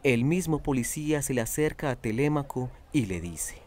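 A man's voice: medium pitch, lively intonation, moderate pace, very noisy. A man narrating a radio drama in a scripted, dramatic storytelling style.

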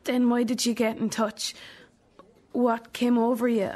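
An actress playing a thirty-year-old Irish daughter in a radio drama, direct and questioning, with a wounded resentment. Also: female voice, fairly high pitch, flat delivery, a little slow, a little mellow. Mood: wounded, resentful. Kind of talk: naturalistic radio drama acting.

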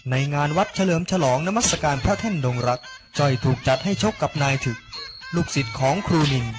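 A young man narrates an animated story from a script. His voice sits slightly high for a man, and music plays clearly underneath the narration throughout. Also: brisk. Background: loud background music.

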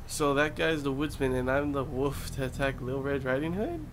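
A man's voice: mid-range voice, lively intonation, moderate pace, background noise. A young man giving casual reaction commentary as he plays a game, on a headset in a small room. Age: young adult. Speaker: let's play gamer. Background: game music.

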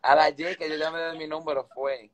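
A man's voice: on the high side, flat delivery, brisk, crowd noise. Young Dominican man chatting casually. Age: 20s. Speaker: livestreamer making prank calls. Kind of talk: casual livestream chat. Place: indoors in a room at home. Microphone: phone held at arm's length.

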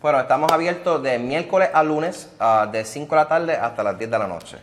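A man, a restaurant owner answering interview questions in a relaxed way, dropping in English words. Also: on the high side, fairly quick, a little hiss or hum. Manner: relaxed, many "ah" fillers, mixes in English words. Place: indoors at a restaurant bar.

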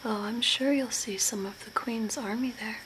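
A young woman playing an eerie, soothing zombie-makeover artist in a soft, breathy ASMR whisper, slow and deliberate. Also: fairly high pitch, steady tone, close to an everyday voice. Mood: hushed, eerie. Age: young adult. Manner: slow, hushed, deliberate, spooky. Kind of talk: whispered ASMR role play. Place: small room at home by a window. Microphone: close camera at arm's length. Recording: noisy home recording with ambience.

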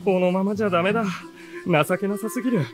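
An actor playing an earnest seventeen-year-old bookish runaway who narrates and speaks in a radio drama. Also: male voice, sits high, fast talker, music in the background, lightly acted. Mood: self-reproachful, then resolved. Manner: expressive, earnest storytelling.

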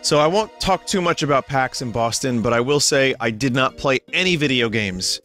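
A young man, a little hoarse and tired, chatting casually and rambling to his stream viewers from home. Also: medium pitch, animated, normal speed, a bit bright, faint music behind. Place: home room.

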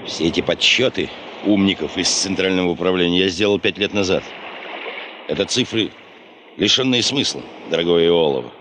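An actor playing a stubborn, domineering geology boss in a 1970s Soviet radio play, speaking emphatically. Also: male voice, deep voice, normal speed, close to an everyday voice. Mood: defiant, insistent. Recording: noisy 1970s radio play, engine hum.

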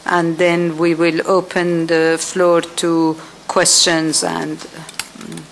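Female moderator, unhurried and hesitant, low monotone, faint hiss behind. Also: gravelly. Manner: slow, hesitant, many fillers. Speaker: event moderator. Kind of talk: formal introduction.

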